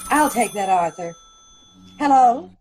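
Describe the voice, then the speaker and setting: A dryly witty, composed sleuth's wife from a radio mystery, played by a woman. She speaks slowly in a high, very animated voice, over faint noise. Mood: composed, wry. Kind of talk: old-time radio comedy-mystery acting.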